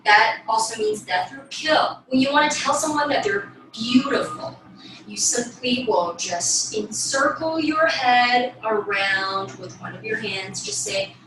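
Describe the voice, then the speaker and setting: A woman's voice: a little high, a little slow. A young woman teaching a ballet lesson to camera, explaining casually and often checking in with a tag question. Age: young adult. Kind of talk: instructional demonstration, explaining to camera. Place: small bedroom, indoors. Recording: echoey room, distant mic, faint noise.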